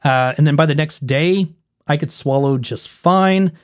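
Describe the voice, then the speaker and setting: A man with a somewhat high voice, a little hoarse, talks casually to his viewers. He rambles and corrects himself often as he explains. He keeps calling his audience folks. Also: unhurried. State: slightly hoarse. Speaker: video host.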